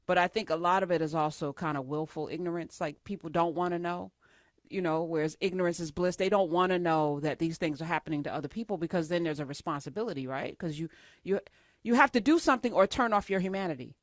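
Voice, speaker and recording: A woman talk show host with a somewhat low voice leads the interview with impassioned, searching questions. The sound is dry and close, with no room around her. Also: fast talker, dry recording. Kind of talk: interview hosting.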